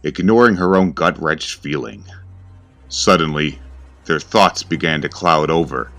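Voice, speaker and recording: Male horror narrator, extremely low pitch; grave, ominous delivery. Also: animated, measured pace, fairly bright tone, faint music behind.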